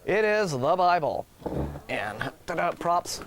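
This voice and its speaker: A man's voice: fairly high pitch, big pitch swings, slow pace, fairly bright tone, a little hiss or hum, poor audio. An American man co-hosting a talk show, reading out news items with casual, wry and mocking asides. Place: TV studio.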